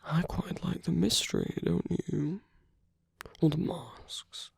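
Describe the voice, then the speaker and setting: A man playing a suave, sly aristocratic villain in an ASMR roleplay, speaking in a soft, breathy, often near-whispered voice with languid, teasing politeness and veiled menace. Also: fairly high pitch, animated, takes it slowly, no room sound, clean studio sound, full character voice. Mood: teasing, seductive. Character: suave sly aristocratic villain, flirting and secretly scheming at a masquerade. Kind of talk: ASMR roleplay, intimate scripted acting.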